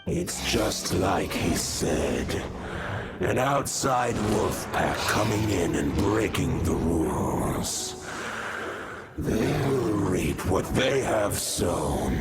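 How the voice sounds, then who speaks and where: A man's voice: low-pitched, exaggerated voice. A man voicing an ancient, proud wolf spirit in a video game, speaking in a solemn, archaic and commanding manner. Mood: grave warning. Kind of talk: dramatic game voice acting.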